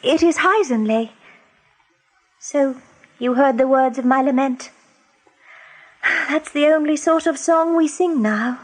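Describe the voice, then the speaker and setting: An actress playing a gentle, despairing young female rabbit in a radio play, plaintive and poetic. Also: high-pitched, slow pace, long pauses, low-quality recording. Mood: mournful, plaintive.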